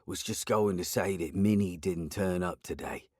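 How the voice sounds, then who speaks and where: A man's voice: deep voice, normal speed, no room sound, clean studio sound. A man voicing a scruffy middle-aged male drifter with a coarse English accent and an offhand, casual manner.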